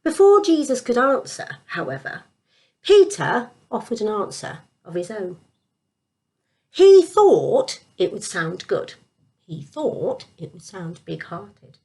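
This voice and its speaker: A woman narrates a children's Bible story in a fairly high voice. Her intonation moves widely, and she leans on key words with deliberate emphasis. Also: warm tone.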